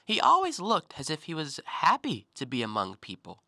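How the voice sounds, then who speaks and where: An actor in an audio play, playing a talkative, self-assured intellectual who probes and needles with articulate, deliberate theatrical phrasing. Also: male voice, a little high, wildly swooping pitch, vocal fry, sharp tone, no room sound, clean studio sound, exaggerated voice. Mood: reflective storytelling. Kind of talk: stage-style audio play monologue.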